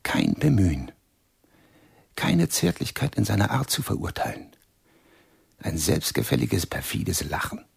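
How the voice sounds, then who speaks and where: An actor playing a bitter, wounded man in a German radio play, brooding in clipped fragments with a gravelly, breathy, half-whispered voice. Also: fairly low pitch, big pitch swings, unhurried, long pauses, exaggerated voice. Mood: bitter, hateful. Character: bitter, wounded man loathing a smug friend.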